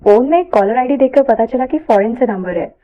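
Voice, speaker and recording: A woman reading a scripted story aloud as an expressive narrator. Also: fairly high pitch, fairly quick, highs cut off.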